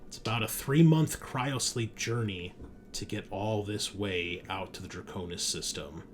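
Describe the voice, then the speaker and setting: A man's voice: fairly low pitch, a little slow. A man hosting a sci-fi horror tabletop game as game master, speaking to players and listeners. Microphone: streaming setup at a computer. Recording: background noise, room ambience.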